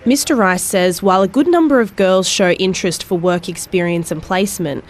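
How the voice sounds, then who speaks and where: A woman reporter narrating a news report in a clear, even way. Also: medium pitch, fairly quick, a little hiss or hum.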